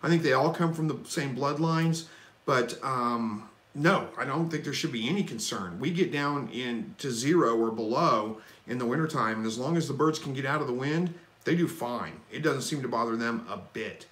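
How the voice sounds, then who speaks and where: A man hosting a live stream, reading viewer questions and answering in a relaxed, folksy, rambling way. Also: mid-range voice, moderate pace, roomy sound. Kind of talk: casual live chat Q&A.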